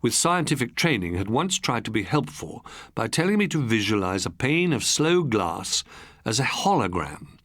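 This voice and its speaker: A male audiobook narrator reads first-person fiction in a measured, literary way. His intonation is very lively around a middling pitch, and the recording is dry and close. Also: no echo. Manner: measured, expressive, literary delivery.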